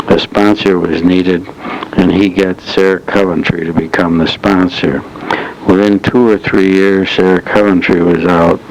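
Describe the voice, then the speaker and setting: Low, monotone elderly man. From United States, upstate New York. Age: 70s or older. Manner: measured, halting phrasing with pauses. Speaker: longtime community club member recounting local history. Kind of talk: slow oral history reminiscence, partly as if from notes. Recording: noisy old reel-to-reel tape transfer.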